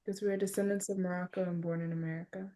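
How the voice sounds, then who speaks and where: A young woman answers catechism questions in a religious class. Her voice is low and mellow. She gives each answer promptly and plainly, without fuss. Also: little pitch movement, fast talker. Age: young adult. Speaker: class student.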